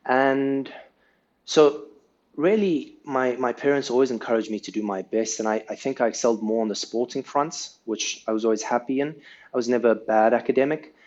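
A man's voice: medium pitch, fairly even intonation, normal speed, a little mellow, dull audio. A South African man, a podcast guest, telling his life story in a casual, earnest way and often ending sentences with "right?".

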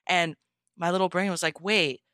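A young woman with a bright, clean voice that sits a little low. She talks as an enthusiastic interview guest, casual and chatty, dropping "like" all through her sentences. The sound is dry and close. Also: fairly even intonation, normal speed, no room sound. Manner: enthusiastic, gushing, peppers talk with "like". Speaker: podcast guest.

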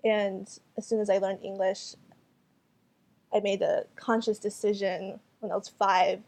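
A young woman artist, Cantonese her first tongue, answers in English at an unhurried, thoughtful pace. She hesitates often and restarts her sentences while she searches for the right words. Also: medium pitch, little pitch movement, darkish tone. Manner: hesitant, many fillers and restarts. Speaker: artist and photographer. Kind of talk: interview answers.

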